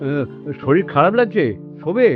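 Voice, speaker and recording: A man playing a patient, caring elderly father in a radio drama, speaking gently and hesitantly and repeating himself. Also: high voice, lively intonation, moderate pace. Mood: patient, concerned. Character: patient, caring elderly father looking after ailing wife. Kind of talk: Bengali radio drama acting. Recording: dull lo-fi audio with music behind.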